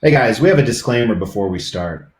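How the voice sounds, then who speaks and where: A man's voice: fairly low pitch, lively intonation, fairly quick, echoey room. A middle-aged man live streaming, chatting casually and rambling to his viewers.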